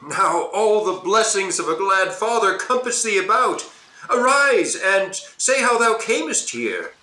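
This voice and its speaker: A man's voice: high voice, sing-song, unhurried, pushed and bright, exaggerated voice. A man playing a grieving, repentant king and father in a Shakespearean radio play, speaking with earnest formality. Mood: hopeful, joyful. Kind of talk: Shakespearean audio drama acting. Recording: roomy, echoing home recording.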